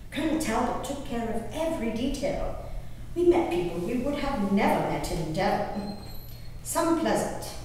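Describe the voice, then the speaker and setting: A woman on stage playing a spirited, haughty colonial English gentlewoman reading her diary, in prim, emphatic, old-fashioned formal diction with savored pauses. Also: a little high. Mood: gleeful, excited. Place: indoor hall, live performance recorded from a distance. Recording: roomy echoing hall, distant mic, faint noise.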